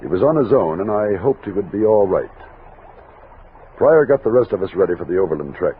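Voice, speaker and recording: An American actor playing a steady, commanding army captain of a frontier expedition in an old-time radio drama. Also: male voice, low-pitched, fairly quick, narrow, tinny audio. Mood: reflective narrating. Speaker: lead actor and narrator. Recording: thin, noisy vintage radio recording.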